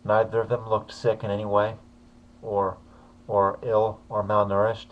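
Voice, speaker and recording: A man's voice: fairly low pitch, completely flat delivery, a little slow, highs cut off. A man casually commenting on a video he uploaded, talking hesitantly with many fillers.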